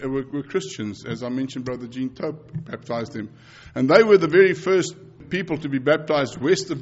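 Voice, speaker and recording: A man's voice: medium pitch, muffled recording. A man in his fifties from South Africa with a South African accent, Afrikaans as his first language, preaching earnestly to a congregation with repeated phrases and frequent restarts. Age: 50s. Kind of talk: sermon and mission report.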